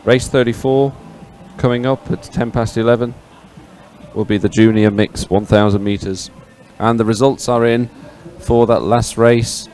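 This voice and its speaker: A man giving live sports commentary in a chatty, hesitant, partly ad-libbed way with frequent pauses. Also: mid-range voice, steady tone, darkish tone, soft background music. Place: live broadcast at an outdoor regatta venue.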